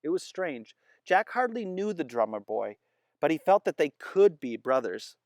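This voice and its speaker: A reader narrating a children's chapter book aloud with expressive delivery. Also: mid-range voice, moderate pace, no echo, clean studio sound. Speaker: storybook reader.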